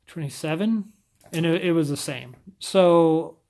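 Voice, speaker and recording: A man's voice: fairly high pitch, measured pace, vocal fry, a little mellow. A man with a scratchy voice talking casually to camera, often stumbling and restarting his sentences. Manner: stumbles over words, rambling restarts.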